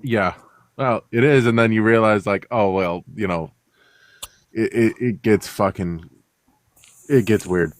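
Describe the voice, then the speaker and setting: A man's voice: on the low side, a little slow, long pauses, no room sound. A man with a Chicago accent, Russian his first language, telling stories as a guest in casual talk, full of fillers and restarts. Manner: rambling storyteller, many fillers and restarts. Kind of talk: casual conversation.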